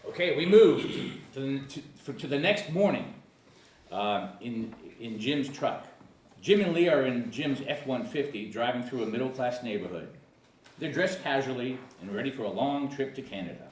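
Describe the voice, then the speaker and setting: A man's voice: medium pitch, lively intonation, normal speed, darkish tone. A man reading screenplay action lines at a comedic table read, casual, stumbling over words and chuckling. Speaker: screenplay narrator and reader. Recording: echoey room, far from mic, faint noise.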